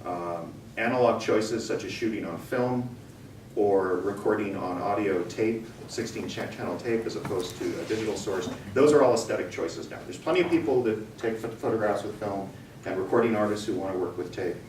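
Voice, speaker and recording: A man's voice: lowish voice, moderate pace, a little mellow. A middle-aged man giving an informal, conversational and emphatic talk to students. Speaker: guest speaker, radio theater company owner. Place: room, talk to an audience of students. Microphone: distant from the speaker. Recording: roomy, distant mic with background noise.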